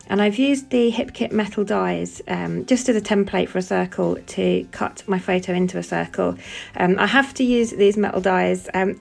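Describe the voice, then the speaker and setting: A woman's voice: medium pitch, gravelly, a little mellow. A chatty woman with a bit of a cold, talking through a scrapbook layout as she works, with many fillers. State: has a cold, sniffling. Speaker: scrapbooking crafter demonstrating a layout. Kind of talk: casual voice-over for a craft process video.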